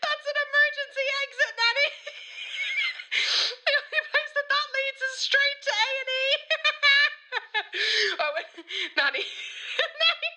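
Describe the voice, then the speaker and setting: Actress as giddy, tipsy Irish twentysomething on voicemail, high piercing voice, brash, rambling and confessional. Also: female voice, animated, slow pace, sharp tone, full character voice. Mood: hysterical giggling. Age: young adult. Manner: rambling, exclaiming, giggly.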